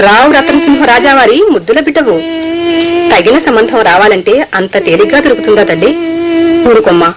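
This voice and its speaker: A woman's voice: a little high, lively intonation, brisk, a loud crowd, natural voice. A woman playing a doting, patient mother in a radio play, coaxing and affectionate. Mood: soothing, exasperated. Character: doting, patient Rajput mother soothing her child.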